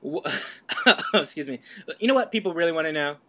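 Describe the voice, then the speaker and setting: A man's voice: on the high side, sing-song, brisk, fairly bright tone. A man hosting an interview, asking long rambling questions in a chatty way and laughing easily. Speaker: host. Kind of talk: casual interview chat.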